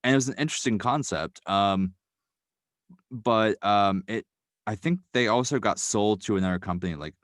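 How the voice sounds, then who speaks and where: A man's voice: low voice, no echo, studio quality. A man, a writer, guest on a podcast, talking casually and rambling, hedging often and filling gaps with "um" and "like".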